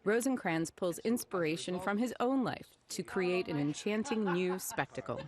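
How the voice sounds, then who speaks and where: A woman reporter reading a scripted news feature narration in a measured, expressive way. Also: on the low side, crowd noise.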